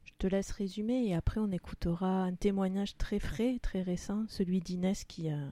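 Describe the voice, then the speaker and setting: A man's voice: high voice, steady tone, normal speed, warm tone, no room sound. A man hosting a casual, unscripted radio discussion. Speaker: radio host.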